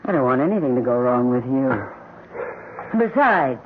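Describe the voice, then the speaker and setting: An actress playing a mercenary, blackmailing housekeeper with a sardonic, blunt, colloquial manner in an old radio mystery drama. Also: female voice, very deep voice, expressive, normal speed. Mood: dryly solicitous. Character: brassy, scheming blackmailing housekeeper, sarcastic and mercenary. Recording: tinny, noisy old radio recording.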